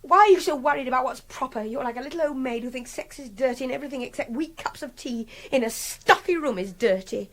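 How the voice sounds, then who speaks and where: A woman's voice: high voice, quick pace, hardly any pauses, fairly bright tone. An actress playing a volatile, articulate young female art student held captive, with an English accent and an imperious, mocking manner in a radio drama. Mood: angry, exasperated. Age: young adult. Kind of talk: BBC radio drama acting.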